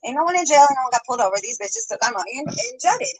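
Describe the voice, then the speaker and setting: A woman's voice: high-pitched, sing-song, rapid-fire, poor audio. A woman telling a rambling story, hedging often and breaking into little laughs. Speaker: storytime YouTuber.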